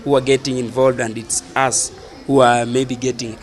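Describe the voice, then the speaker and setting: A young man with a Kenyan accent answering an interview casually, in short halting phrases. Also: mid-range voice, flat delivery, faint music behind. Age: young adult. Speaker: youth workshop participant being interviewed. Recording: faint outdoor urban noise.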